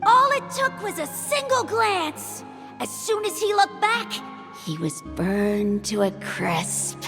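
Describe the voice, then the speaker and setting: A woman voicing a lively young girl of a tribe in a game, telling a legend in a playful, dramatic way. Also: slow, in a large hall, over music, full character voice. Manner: playful, dramatic storytelling with big pitch swings. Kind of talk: game dialogue voice acting.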